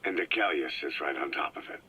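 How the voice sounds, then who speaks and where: An actor playing a weary, guarded veteran soldier who speaks in short, clipped questions in a low, quiet voice. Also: male voice, quick pace, slightly rough, heavily acted. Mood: terse. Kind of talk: video game cutscene voice acting. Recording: noisy cassette recording.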